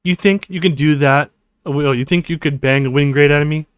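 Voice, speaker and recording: Man reading as a brisk, stern woman professor. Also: a little high, steady tone, clean tone, dry recording, natural voice. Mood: stern, conceding. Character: stern, busy woman professor warming to a student.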